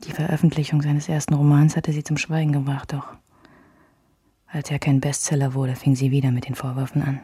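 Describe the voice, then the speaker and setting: Low-voiced woman narrator, intimate and reflective. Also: level tone, brisk, dark tone. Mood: quiet, intimate. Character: woman recalling her married life, reflective and wounded. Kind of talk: first-person radio drama narration.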